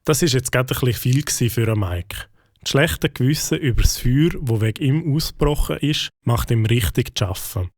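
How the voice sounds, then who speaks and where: A man narrating a children's cartoon calmly with a Swiss German accent. His voice sits mid-pitch and is recorded dry and close. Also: moderate pace, dry recording. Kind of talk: storybook narration.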